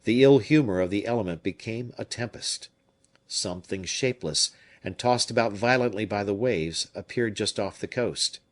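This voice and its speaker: A man narrating an audiobook with expressive storytelling. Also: fairly low pitch, moderate pace. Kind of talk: scripted narration.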